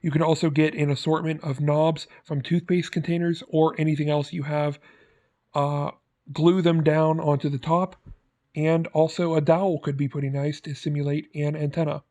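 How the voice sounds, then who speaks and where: Male tutorial host, fairly high voice, very even intonation, plain scripted step-by-step instruction. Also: moderate pace. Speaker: host of prop-making tutorial. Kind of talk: scripted how-to narration.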